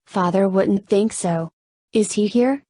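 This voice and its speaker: A woman's voice: medium pitch, slow pace, warm tone, dry recording. Woman playing a confused young female patient, dry sound. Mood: questioning.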